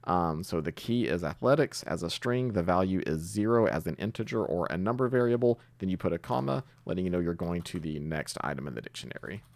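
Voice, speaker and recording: A man with a very low voice and plenty of vocal fry talks through a coding tutorial in a casual way. His intonation moves around a lot as he thinks aloud, explaining each step while he works. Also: expressive, a little fast, hardly any pauses, no room sound. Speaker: programming tutorial host.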